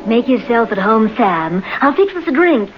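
A woman's voice: on the high side, very animated, normal speed, over loud music. An actress playing a married man's tender, conspiratorial mistress in mid-century radio melodrama. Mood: concerned, conspiratorial. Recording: dull, lightly noisy vintage radio recording.

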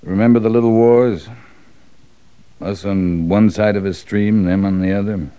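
An actor playing a hard, bitter middle-aged cavalry officer in a radio Western, with a clipped, restrained way of talking. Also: male voice, fairly low pitch, fairly even intonation, normal speed, dark tone, clean tone, dry recording, natural voice. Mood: bitter, brooding. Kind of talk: old-time Western radio drama acting. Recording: dull, band-limited old radio recording.

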